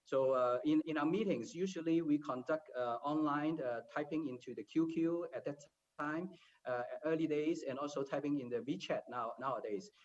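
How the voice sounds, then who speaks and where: A man on a career panel, speaking haltingly with many filler sounds. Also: fairly high pitch, a little mellow. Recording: distant muffled remote audio.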